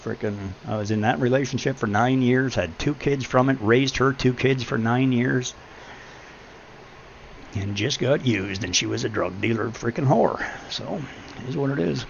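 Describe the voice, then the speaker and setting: Gravelly deep-voiced middle-aged man. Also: normal speed. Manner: blunt, preachy, swears freely. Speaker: podcast host. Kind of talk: casual advice chat. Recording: muffled lo-fi with faint hum.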